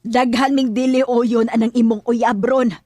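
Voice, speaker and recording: A woman's voice: fairly high pitch, steady tone, moderate pace, a little mellow, no room sound, natural voice. Woman acting stern scolding Bisaya aunt, dry sound. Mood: indignant, scolding. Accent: Filipino, Bisaya. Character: stern, disapproving aunt scolding a nephew's girlfriend choice. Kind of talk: Bisaya radio drama acting.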